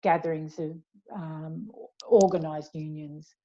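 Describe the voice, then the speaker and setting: A woman's voice: low voice, fairly even intonation, slow pace, mellow, lo-fi sound. An Australian woman speaking as an author in a conversational interview, chatty and reflective as she explains at length. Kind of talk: conversational author talk, answering questions.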